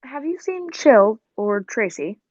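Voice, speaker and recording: A woman as a slow, scheming circus villainess. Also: fairly high pitch, sing-song, darkish tone, dry recording, lo-fi sound, heavily acted. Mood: curt, wary. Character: jealous, cold-blooded circus performer villainess. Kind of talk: 1940s radio drama acting.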